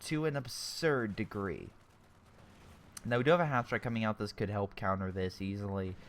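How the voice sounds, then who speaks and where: A man's voice: on the low side, moderate pace. A young man with a sore throat giving casual, chatty commentary on a strategy game replay, often correcting himself mid-sentence and airing blunt opinions.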